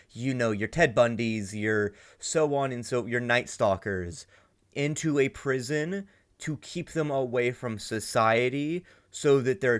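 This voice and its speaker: A man hosting a reaction video, rambling and thinking aloud with lots of fillers. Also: medium pitch. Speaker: reaction channel host. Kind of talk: casual reaction commentary.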